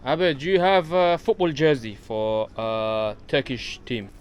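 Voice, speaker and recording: A man's voice: mid-range voice, steady tone, unhurried. A man from London with a London accent, chatting casually and informally to his viewers as he films a travel vlog. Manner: friendly, informal, addressing viewers as guys. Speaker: travel vlogger. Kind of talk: casual walking vlog chat. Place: walking through an outdoor bazaar and its shops. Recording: busy street and bazaar crowd noise.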